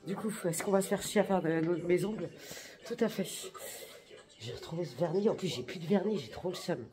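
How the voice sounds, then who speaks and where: A woman's voice: lowish voice, brisk, music in the background. A young woman vlogging in a casual, slangy, exclamatory way, chatting straight to her viewers. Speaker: lifestyle vlogger. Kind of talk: casual vlog chat.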